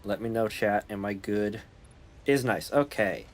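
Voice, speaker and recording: A man's voice: on the low side, measured pace. A man streaming a factory-building game, chatting casually and muttering to himself while he adjusts his audio settings. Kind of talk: casual gaming chat.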